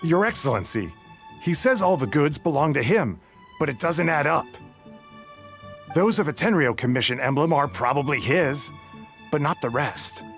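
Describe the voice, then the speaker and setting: A dutiful guard from a game, fairly high and expressive. He is earnest and respectful, with a suspicious streak, over faint music. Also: moderate pace. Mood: businesslike. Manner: deferential, earnest. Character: dutiful, suspicious young subordinate guard reporting to leader. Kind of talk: scripted video game dialogue.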